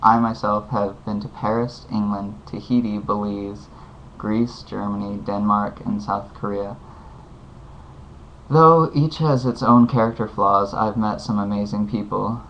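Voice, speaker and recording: A man's voice: fairly low pitch, steady tone, a little slow. A man giving rambling, earnest advice in a casual video recorded at home. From United States. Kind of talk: casual heartfelt advice monologue. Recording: dull lo-fi home video with faint hiss.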